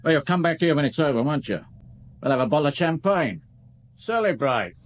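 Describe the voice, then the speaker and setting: A man's voice: a little high, lively intonation, brisk, close to an everyday voice. An actor playing a sly, chummy man at a nightclub in a radio play, with a teasing manner. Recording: music in the background.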